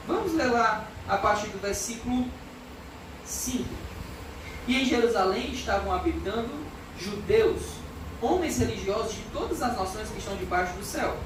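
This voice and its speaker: A woman with a Brazilian Portuguese accent preaches earnestly, pressing each point and reading scripture aloud. Her voice sits a bit high and sounds distant from the mic. The recording is full of hall echo, with clear background noise. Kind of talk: expository sermon. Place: church hall. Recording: echoey church hall from far off, background noise.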